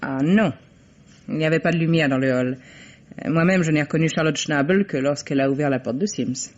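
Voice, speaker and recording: An actress playing a guarded, curt private secretary with formal poise in a vintage French radio mystery. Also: female voice, sits low, fairly quick, a little mellow. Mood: composed, curt. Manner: crisp, curt, formal replies. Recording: dull, vintage radio recording.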